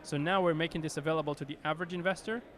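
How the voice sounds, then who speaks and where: A man with an Israeli accent speaks in a slightly raised voice, recorded on a handheld mic outdoors in a city. He pauses often, filling gaps and restarting as he explains things. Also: on the high side, fairly even intonation, fairly bright tone. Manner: hesitant, many fillers and restarts. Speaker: company executive guest. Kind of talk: conference interview.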